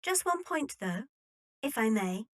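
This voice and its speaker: A woman's voice: fairly high pitch, big pitch swings, measured pace. A woman playing a poised, decided young Victorian heiress reading a letter in an audio drama. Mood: polite, firm.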